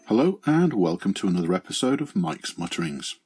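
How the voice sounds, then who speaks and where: Middle-aged male presenter, low slightly raspy voice, earnest reflective scripted monologue. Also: big pitch swings, a little fast, darkish tone, faint music behind. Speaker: podcast segment presenter.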